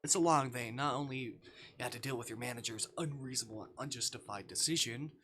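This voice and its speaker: Bright-voiced man reading aloud on a stream. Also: mid-range voice, fast, a little hiss or hum. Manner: expressive, stumbles while skim reading. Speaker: live streamer. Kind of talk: reading a visual novel aloud with casual asides.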